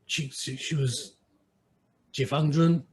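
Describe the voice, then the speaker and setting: A man from New York with an American accent, an interview guest telling stories casually and haltingly, sometimes switching between English and Mandarin. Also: medium pitch, fairly even intonation, darkish tone. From New York, United States. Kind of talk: casual conversational storytelling. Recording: clear background noise.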